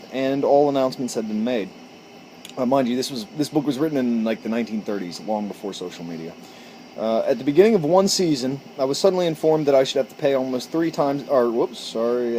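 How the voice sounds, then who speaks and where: A man with a mid-pitched voice talks informally on a porch, with faint noise behind him. He moves between casual chat and reading aloud, now and then tripping on a word and fixing it as he goes. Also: dark tone, poor audio. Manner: informal, stumbles and corrects himself while reading. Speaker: amateur storyteller and video host. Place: outdoors on a porch.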